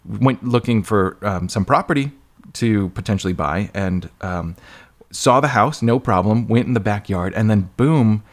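A man hosting a podcast interview in casual conversation, chatty, agreeable and quick to affirm. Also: on the low side. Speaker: podcast host interviewing an author.

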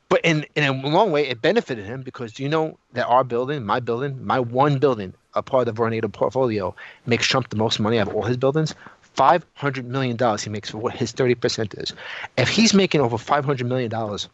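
A man's voice: medium pitch, fairly bright tone, dry recording. New man, emphatic podcast talker, dull audio. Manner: emphatic, repetitive, peppered with rhetorical questions. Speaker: podcast co-host. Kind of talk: casual opinionated discussion.

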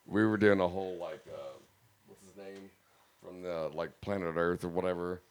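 A man hosting a casual studio podcast, with loose, profane, laughing banter and a voice going slightly raspy. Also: low voice, steady tone, measured pace, long pauses. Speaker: podcast host.

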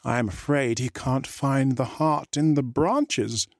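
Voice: mid-range voice, slow pace, a little mellow, dry recording, studio quality. A storyteller voicing a gullible, simple-minded shark in a children's tale. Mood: calling, worried. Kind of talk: storybook reading.

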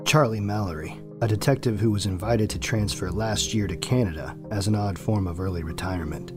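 A man's voice: on the low side, brisk, over music. A man reading scripted, dramatic narration for an audio drama.

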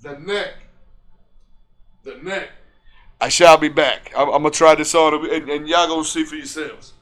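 A man of Dominican heritage co-hosting a podcast in loud, swearing, laughing banter. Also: a little high, steady tone, normal speed, reverberant. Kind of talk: casual chat.

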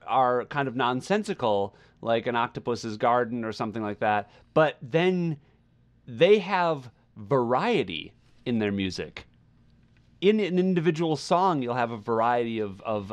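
Halting male podcast co-host, casual talk. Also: medium pitch. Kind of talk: casual, personal discussion.

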